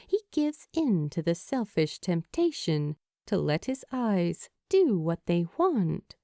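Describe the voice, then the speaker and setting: Slow, mellow woman narrating a story. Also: medium pitch, dry recording, studio quality. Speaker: fan fiction audiobook narrator. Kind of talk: expressive scripted story narration.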